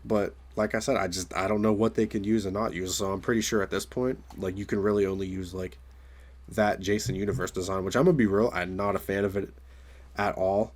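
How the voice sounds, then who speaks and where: A young man with a raspy voice from a recent illness, talking casually to his viewers in a rambling way with many fillers. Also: deep voice, fairly even intonation, fairly quick. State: raspy voice from getting over illness. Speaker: gaming video creator. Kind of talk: casual solo commentary.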